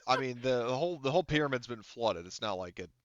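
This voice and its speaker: A man speaking as a game master in a casual, unscripted way, halting and often rephrasing. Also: medium pitch, lively intonation, no echo, dull audio. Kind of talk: unscripted tabletop game narration and casual talk.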